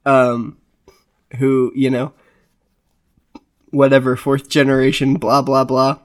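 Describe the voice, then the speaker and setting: A man's voice: medium pitch, little pitch movement, slow. A man co-hosting a podcast in casual chat, rambling with frequent restarts and filler words. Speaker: podcast co-host.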